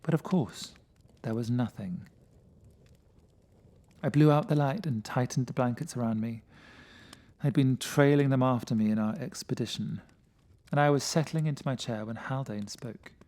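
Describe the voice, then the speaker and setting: A man with a mid-pitched voice reading a first-person gothic story as dramatic narration. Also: normal speed, a little mellow. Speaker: story reader.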